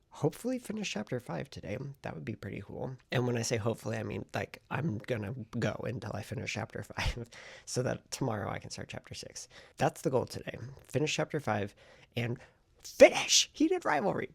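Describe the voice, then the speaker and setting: A man with a deeply gravelly, fry-heavy voice that sounds husky and rough at the edges. He talks to the camera in a casual diary-style vlog, chatty and excitable, often trailing off into soft, whispery asides. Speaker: writing vlogger.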